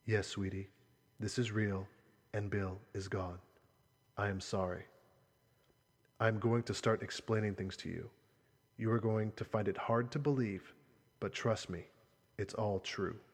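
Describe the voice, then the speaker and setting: A man playing a grave, protective uncle in an audiobook, with a husky voice and an earnest, solemn manner. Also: fairly low pitch, fairly even intonation, unhurried, long pauses. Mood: sorrowful, tender. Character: grave, protective adult uncle, tender guardian revealing secrets. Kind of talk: audiobook character dialogue. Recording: voice in a long hollow reverb.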